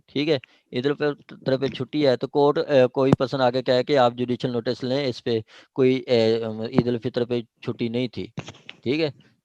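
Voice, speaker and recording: Male law lecturer, Pakistani accent, mid-range pitch, explanatory and repetitive, English terms mixed in, very little room sound. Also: a little mellow, no room sound, dull audio. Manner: explanatory, repeats points, mixes in English legal terms. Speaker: law teacher. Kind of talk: informal lecture explaining statute clauses to a class.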